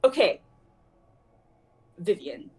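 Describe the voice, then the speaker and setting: A woman's voice: mid-range voice, sing-song, extremely slow, a little mellow, husky, heavily acted. A woman playing a playful, teasing young woman in a casual dramatic reading. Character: playful, teasing young woman named Bellavia sharing a ride.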